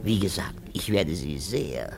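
Slow, gravelly, furtive art dealer. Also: male voice, low voice, lively intonation. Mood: furtive, insinuating. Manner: hesitant, insinuating, halting. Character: furtive, fawning male art dealer seeking risqué pictures. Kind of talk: German radio play acting.

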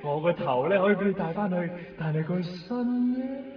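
Theatrical, high-pitched teasing young man, echoey. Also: animated, moderate pace, noisy background. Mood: manic, taunting. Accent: Hong Kong Cantonese. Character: young man, old sweetheart, turning manic and taunting in a nightmare. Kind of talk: 1990s Cantonese radio drama acting. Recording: echoey, distant, dull radio recording.

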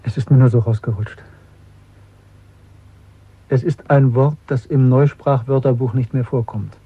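A man's voice: mid-range voice, steady tone, unhurried, dark tone, husky, no echo. An actor playing a bitter, haunted male dissident in a German radio drama, speaking earnestly and insistently. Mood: guarded, insistent. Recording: muffled, narrow phone-like sound.

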